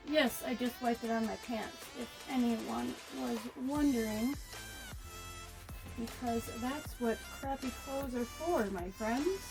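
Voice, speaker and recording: A woman vlogger talking casually to herself as she paints, reflective, halting and encouraging, with a naturally quiet voice that she tries to project. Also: fairly high pitch, vocal fry, over music, rough recording. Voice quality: normally quiet voice, making an effort to project. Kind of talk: casual self-talk while painting. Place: hot room indoors.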